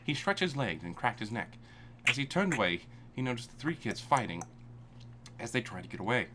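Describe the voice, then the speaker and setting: A man's voice: medium pitch, big pitch swings, brisk, background noise. A man reading a story aloud live for comedy, with an irreverent manner and amused asides. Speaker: reader of fan fiction.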